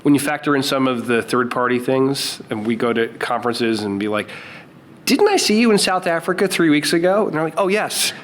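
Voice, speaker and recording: A man's voice: medium pitch, expressive, brisk, a bit bright. A man co-hosting a podcast live at a conference, upbeat and joking, with playful asides. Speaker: podcast co-host. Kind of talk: casual podcast chat.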